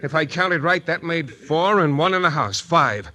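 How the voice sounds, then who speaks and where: An actor playing a tough, wry private detective, narrating and talking in clipped, intense 1940s radio style. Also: male voice, on the high side, animated, normal speed, a loud crowd, lightly acted. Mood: tense, wry. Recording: 1940s radio broadcast recording.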